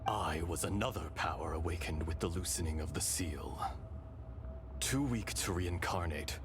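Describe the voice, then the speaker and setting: An actor playing a calm, wistful ancient dragon spirit in human form for a video game, speaking solemnly and deliberately. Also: male voice, low-pitched, natural voice. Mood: grave. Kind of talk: dramatic video game voice acting. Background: game music.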